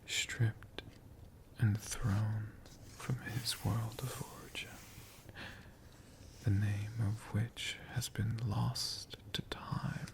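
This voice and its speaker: A storyteller whispering a tale for ASMR in a breathy, hushed voice, with dramatic phrasing and long pauses. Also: mid-range voice, level tone. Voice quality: breathy, mostly unvoiced whisper.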